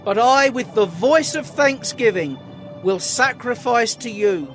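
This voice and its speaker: A man playing the distressed prophet Jonah, praying in slow, earnest pleas. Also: extremely high pitch, lively intonation, fairly bright tone, soft background music, exaggerated voice. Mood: pleading. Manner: slow, earnest pleading prayer. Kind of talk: audio drama acting.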